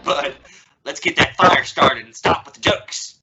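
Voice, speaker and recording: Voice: mid-range voice, a little slow, dull audio, crowd noise, close to an everyday voice. A woman playing a flustered, impatient middle-aged father in an old-time radio family comedy, stammering in exasperation. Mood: exasperated. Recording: noisy recording with clicks.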